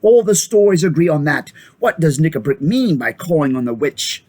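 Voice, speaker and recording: Man doing a high, agitated old doctor. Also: sing-song, moderate pace, a little mellow. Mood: urgent. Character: excitable old male doctor and tutor.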